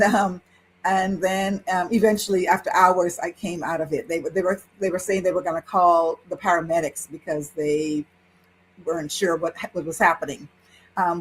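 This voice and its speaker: A woman in her sixties, a priestess and interview guest, recounting her spiritual journey in an animated way with frequent laughter. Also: on the low side, slight background noise, rough recording. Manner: animated, laughs while telling a story. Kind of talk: personal storytelling in conversation.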